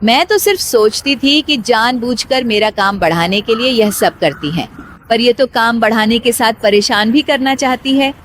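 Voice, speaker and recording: A woman voicing a dutiful, put-upon young daughter-in-law, polite but quietly exasperated, in a cartoon story. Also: a little high, moderate pace, a little hiss or hum, close to an everyday voice. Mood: worried.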